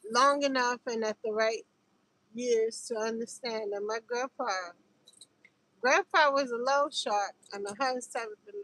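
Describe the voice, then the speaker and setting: A woman's voice: fairly high pitch, fairly bright tone, low-quality recording. A woman with a New York accent chatting casually on a livestream in a rambling, slangy, informal way. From Harlem, New York City, United States. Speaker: livestream host.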